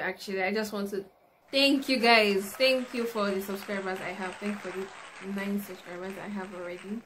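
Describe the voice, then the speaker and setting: A young woman with a Nigerian accent talks casually to her camera, answering questions as a new YouTuber. Her voice sits at a middle pitch for a woman. She is recorded in a room at home, with clear noise behind her. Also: a bit bright, poor audio. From Lagos, Nigeria. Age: early 20s. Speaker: YouTuber, university student. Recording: noticeable background noise, room ambience.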